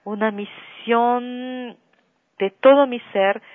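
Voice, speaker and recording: A Brazilian-born woman singer, a guest on a radio interview by phone, telling her story slowly with long pauses and fillers. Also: fairly high pitch, fairly even intonation. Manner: slow, warm storytelling with drawn-out fillers, sings softly at times. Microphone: telephone. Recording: tinny phone line.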